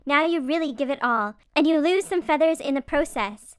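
A man's voice: extremely high pitch, normal speed, no echo, pitched above the performer's own voice. A man voicing a chatty, teasing, motherly female beaver in a storybook, with an emphatic, playful manner. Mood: reassuring, chatty.

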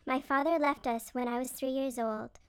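A high, dry-sounding voice for a storybook beaver leader played by a woman. She is strict and self-critical, a female boss worn down by worry. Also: fairly even intonation, normal speed, smooth, no room sound. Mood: weary, frustrated. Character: stern, stressed female beaver team leader. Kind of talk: acted storybook character dialogue.

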